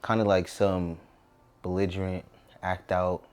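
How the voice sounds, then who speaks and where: A man's voice: deep voice, little pitch movement, measured pace, darkish tone, clean tone. A man in his twenties with an American accent, talking casually and reflectively as a guest in an interview. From Southern California, United States. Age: 20s.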